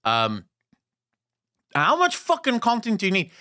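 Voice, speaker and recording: A man's voice: high voice, huge pitch swings, unhurried, sharp tone. A man whose first language is Afrikaans, co-hosting a gaming podcast in casual, blunt, profane chat. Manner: blunt, profane, opinionated ranting. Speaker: podcast co-host.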